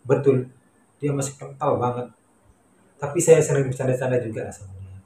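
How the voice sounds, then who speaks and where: A man's voice: medium pitch, fairly even intonation, brisk, mellow. A man with an Indonesian accent chatting casually to viewers in an emphatic, colloquial, repetitive way. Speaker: vlogger, Indonesian migrant worker in Malaysia. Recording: echoey room with faint noise.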